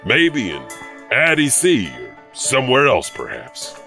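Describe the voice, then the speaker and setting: An actor playing an eerie, teasing horror anthology host, narrating in a sly, theatrical, ominous way. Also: male voice, mid-range voice, wildly swooping pitch, slow, bright, forceful tone, soft background music, full character voice. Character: eerie, teasing male horror-tale host who chuckles. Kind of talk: theatrical spooky scripted narration.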